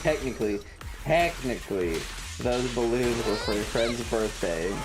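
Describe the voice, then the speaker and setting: A streamer with a deadpan, sarcastic manner, giving casual gaming commentary and swearing, close to the microphone. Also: medium pitch, unhurried. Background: loud game music.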